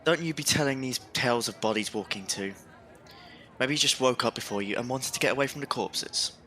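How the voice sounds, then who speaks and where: A man's voice: mid-range voice, brisk, bright, forceful tone, close to an everyday voice. An actor playing a wry, kindly Victorian working man at a water pump in an audio drama, down-to-earth and teasing. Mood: sceptical.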